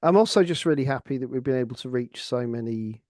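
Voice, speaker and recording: A middle-aged man with an English accent, a researcher talking earnestly and haltingly, repeating words as he reflects. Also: medium pitch, darkish tone, no room sound, clean studio sound. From Lincolnshire, England. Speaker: academic researcher and co-host. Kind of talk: reflective conversational discussion.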